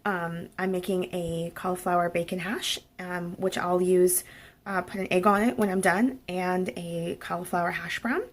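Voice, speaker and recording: A woman's voice: lowish voice, fairly even intonation, normal speed. A woman vlogger with a cold, chatting casually through a home cooking video with lots of ums. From Oregon. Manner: chatty, rambling with many ums. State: has a cold. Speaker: fitness vlogger. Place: home kitchen.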